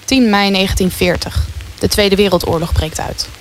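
A woman's voice: mid-range voice, normal speed, low-quality recording. A woman reading scripted dramatic narration for a radio play. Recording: faint rain-like noise behind.

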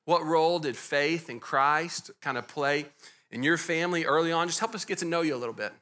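Bright-voiced Texan pastor interviewing. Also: male voice, a little high, fairly quick. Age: young adult. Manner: friendly, joking, with hesitant restarts. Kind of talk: church interview.

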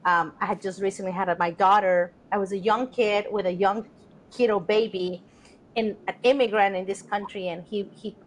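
A woman's voice: medium pitch, fairly even intonation, moderate pace, slight background noise, low-quality recording. Earnest Colombian-born woman telling her story. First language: Spanish. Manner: earnest, reflective, many fillers. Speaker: guest. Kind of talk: casual interview storytelling.

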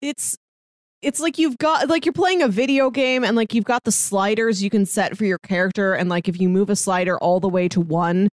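A woman's voice: mid-range voice, a little fast, no room sound, clean studio sound. A woman co-hosting a podcast, chatting casually and conversationally as she gives her review. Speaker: podcast co-host. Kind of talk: casual chat reviewing a TV series.

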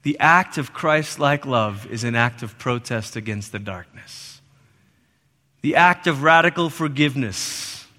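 A middle-aged man from Malaysia preaching a sermon in a church, swinging between loud emphatic lines and soft hushed ones. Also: medium pitch, lively intonation, measured pace. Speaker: pastor. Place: church sanctuary. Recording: long reverb of a church hall.

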